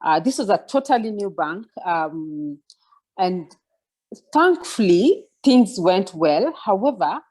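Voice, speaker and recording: A woman's voice: mid-range voice, big pitch swings, slow pace, a little mellow. A woman in her forties giving a reflective mentoring talk over a video call, with many fillers and restarts. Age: 40s. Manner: reflective, many fillers and restarts, asks listeners to follow along. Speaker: guest speaker.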